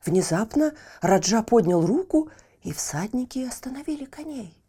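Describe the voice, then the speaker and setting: A woman reading a children's fairy tale as an expressive storyteller. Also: a little high, normal speed, slightly rough.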